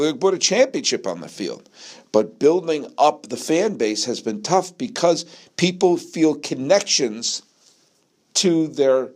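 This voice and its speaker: A man's voice: a little high, darkish tone. An American man from New England giving an opinionated solo sports monologue, speaking emphatically and repeating phrases for effect. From New England, United States. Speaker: sports podcast host.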